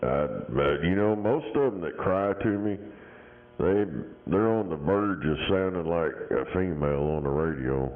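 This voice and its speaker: Deep low-pitched man, crude mocking banter, tinny radio transmission. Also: a little slow. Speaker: CB radio talker. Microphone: radio handset.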